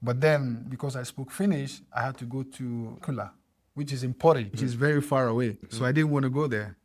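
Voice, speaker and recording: A man in his thirties from Ghana, a podcast guest telling his story in a lively, casual way, acting out conversations. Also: medium pitch, fairly quick. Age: 30s. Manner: animated storyteller, acts out dialogue with laughs.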